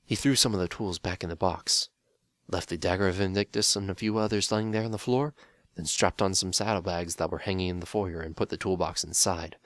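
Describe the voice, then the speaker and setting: A man narrates a dramatic story reading in a steady, wry way. His voice is very low. The recording is close and dry. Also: a little fast, no room sound.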